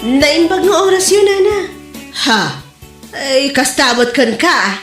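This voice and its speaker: A woman's voice: high voice, expressive, measured pace, reverberant, over music. A woman playing a brash, chatty village campaigner who exclaims and scolds, in a comedy audio drama. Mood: upbeat campaigning. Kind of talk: comedy radio drama acting.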